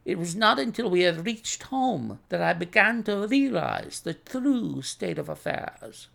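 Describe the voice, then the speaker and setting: A man voicing the polite son of an old Russian gentleman in a storybook, explaining himself formally. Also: high voice, animated, measured pace, vocal fry, pitched above the performer's own voice, full character voice.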